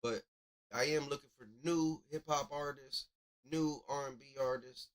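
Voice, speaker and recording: A man's voice: medium pitch, fairly even intonation, moderate pace. A man hosting a music review livestream as DJ, chatting casually in a slangy, rambling way. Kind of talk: casual live commentary on songs.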